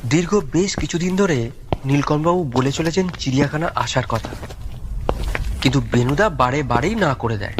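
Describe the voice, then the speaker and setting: A man's voice: fairly high pitch, animated, moderate pace, noisy background. A man narrating a scripted audio story as its storyteller. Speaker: story narrator, speaking as the detective's companion.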